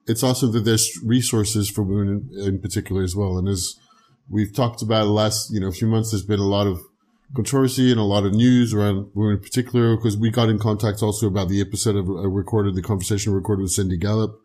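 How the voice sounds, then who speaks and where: A man hosts a casual podcast interview, tossing in eager affirmations and restarting his sentences as he goes. His voice sits on the low side. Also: fairly even intonation, fast talker, warm tone. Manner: enthusiastic, rambling, frequent restarts and affirmations. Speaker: podcast host.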